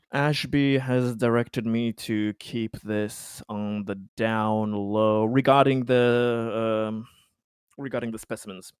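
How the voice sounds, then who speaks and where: A man chatting casually as a tabletop game player, halting and wry, swearing freely. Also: fairly low pitch, fairly even intonation, clear, resonant tone, dry recording, clean studio sound.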